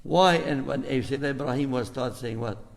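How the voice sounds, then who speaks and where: An older man from Albania with an Albanian accent, speaking as an imam in a rambling, storytelling way to a community gathering, drifting into asides and gentle exhortation. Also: medium pitch, moderate pace, creaky. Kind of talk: informal talk to a community gathering. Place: mosque community hall. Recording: echoey hall reverb.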